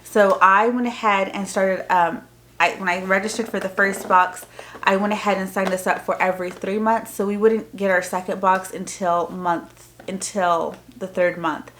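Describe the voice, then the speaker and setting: Female homeschool-parent vlogger, average pitch, casual show-and-tell delivery with plain explanations. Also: fairly even intonation, moderate pace, fairly bright tone. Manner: informal, explanatory. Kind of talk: casual unboxing show-and-tell, reading printed items aloud.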